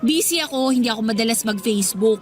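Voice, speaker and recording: Woman doing a defensive young filipina cashier for radio drama, kinda high voice, snippy and evasive. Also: fast talker, soft background music, close to an everyday voice. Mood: feigned indifference. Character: defensive young female supermarket cashier avoiding her crush.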